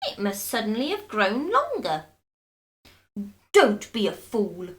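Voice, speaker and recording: Woman doing a gloating, spiteful old husband, slow. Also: medium pitch, huge pitch swings. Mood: scornful. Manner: taunting, drawn-out mock solemnity.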